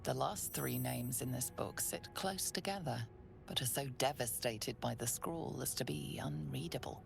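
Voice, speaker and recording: Deep, creaky storyteller. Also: female voice, moderate pace, faint music behind, heavily acted. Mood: grave, hushed. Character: solemn, mysterious female fantasy storyteller. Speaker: game narrator. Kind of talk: scripted second-person narration.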